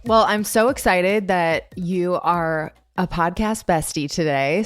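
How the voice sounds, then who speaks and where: A woman's voice: on the low side, no room sound, clean studio sound. A woman hosting an interview podcast, talking in a bubbly, upbeat way and laughing easily. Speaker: podcast host.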